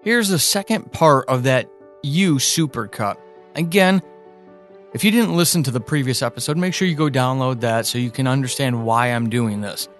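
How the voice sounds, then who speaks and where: A man's voice: mid-range voice, moderate pace, soft background music. A man speaking as a podcast host, giving an introductory announcement to listeners.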